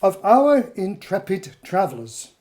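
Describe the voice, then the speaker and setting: Woman playing a mellow, stern, condescending official. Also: medium pitch, very animated. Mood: stern, imperious. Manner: measured, formal, interrogating, coldly dismissive. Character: stern, condescending, calculating authority figure Jabava. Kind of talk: audio drama acting.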